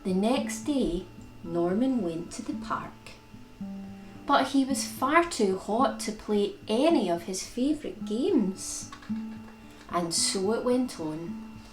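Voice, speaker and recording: A woman's voice: medium pitch, animated, slow. A woman reading a picture book aloud for young children as storytime host, expressively and with dramatic emphasis on key words. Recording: roomy echo with plucked guitar music.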